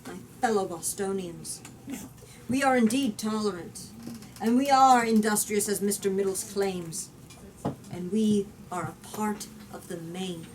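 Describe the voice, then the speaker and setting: A woman reading aloud the part of an earnest male statesman who pleads in solemn, deliberate storybook oratory. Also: medium pitch, voice pushed down, natural voice. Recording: distant mic with background noise.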